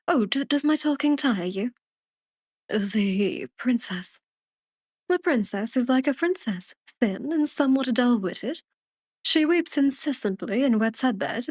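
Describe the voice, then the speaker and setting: A woman voicing a garrulous old man from a storybook, fussy and self-deprecating, rambling on in an animated way. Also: fairly high pitch, no room sound, studio quality. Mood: gossipy, gentle.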